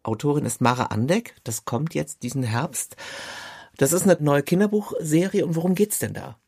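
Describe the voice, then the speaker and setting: A man interviewing a guest, speaking with warm enthusiasm and plenty of affirmation. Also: fairly high pitch, moderate pace, gravelly, husky, no room sound, studio quality. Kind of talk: conversational interview.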